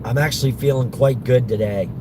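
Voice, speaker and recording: A man with a worn-out, hoarse voice chatting haltingly to his stream viewers while driving. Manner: halting, restarts often, defensive. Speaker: live streamer answering chat. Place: in a moving car. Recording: loud car road noise. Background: road and engine rumble.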